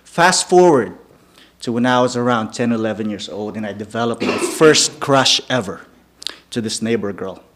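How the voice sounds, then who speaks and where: A man from the Philippines, a native Filipino speaker, tells personal stories to a live audience. He talks at an ordinary, middle pitch and keeps checking in with the room by asking "okay?". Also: expressive, moderate pace, a bit bright. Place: venue before a live audience.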